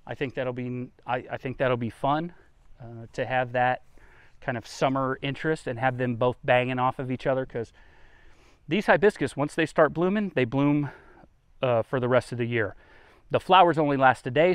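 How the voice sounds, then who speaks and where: A man's voice: mid-range voice, clean tone, no room sound. A man hosting a garden tour outdoors, talking in a folksy, conversational way as he explains things casually. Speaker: gardening vlogger, host.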